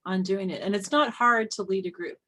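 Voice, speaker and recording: A woman with a slightly rough, husky voice at a middling pitch talks casually as a co-host and group leader. Her delivery is candid and informal, like advice shared among friends. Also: fairly quick, a bit bright. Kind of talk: casual conversational discussion.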